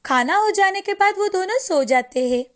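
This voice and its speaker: A woman's voice: extremely high pitch, expressive, normal speed, a bit bright. A woman narrating a scripted moral story as a storyteller. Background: music.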